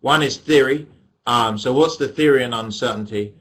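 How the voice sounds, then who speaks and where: A middle-aged man with an English accent lectures over a webcam microphone. His pitch sits in the middle of the male range, and his talk is loose and conversational, with self-deprecating jokes mixed into the explanation. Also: moderate pace. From England. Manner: chatty, self-deprecating, joking asides with laughs. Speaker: economics lecturer.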